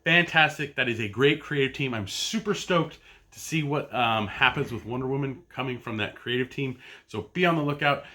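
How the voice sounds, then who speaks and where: Casting: man in his thirties, comic podcast host, bright and forward tone, rambling, chatty delivery full of ums and self-corrections. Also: mid-range voice, normal speed. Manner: rambling and chatty, with many fillers and restarts. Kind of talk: casual review of a weekly comic pull list. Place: home basement.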